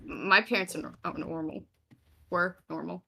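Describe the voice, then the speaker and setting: A woman's voice: fairly low pitch, normal speed, creaky, bright, forceful tone, slight background noise. A woman chatting casually, half in character, as a player in a tabletop roleplay.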